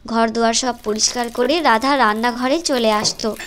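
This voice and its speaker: A woman's voice: on the high side, steady tone, normal speed, fairly bright tone, slight background noise. A woman reading scripted storytelling narration for a moral cartoon. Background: music.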